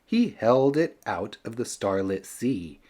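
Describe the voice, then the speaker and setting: Male storyteller for rhyming picture books, very animated pitch, slow and dramatic pacing. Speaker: storyteller reading a children's picture book aloud. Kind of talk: expressive rhyming picture-book narration.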